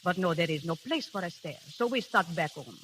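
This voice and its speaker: 1930s radio drama, hissy: sly middle-aged continental temptress, bright voice, swooping delivery, pleading in broken English. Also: female voice, fairly low pitch, lively intonation, brisk. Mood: mournful confiding. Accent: continental European. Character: sly, melodramatic middle-aged European seductress with a sob story.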